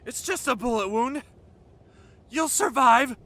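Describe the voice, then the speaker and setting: A man's voice: very high-pitched, measured pace, gravelly, bright, forceful tone, exaggerated voice. A man playing a protective, exasperated teenage boy in an amateur script read, with urgent, emphatic delivery. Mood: firm, urgent.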